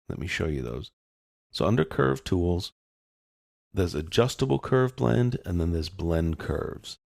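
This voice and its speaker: A man giving a casual, step-by-step software tutorial as an instructor, explaining in informal phrasing into a close mic. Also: deep voice, vocal fry, no echo. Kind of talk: step-by-step screencast lesson, casual.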